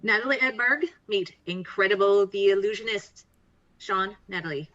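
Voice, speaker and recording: An actress playing a wry, bold young best friend, teasing and confident, in a conversational table read. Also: female voice, mid-range voice, normal speed, a bit bright, dull audio, natural voice. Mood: breezy.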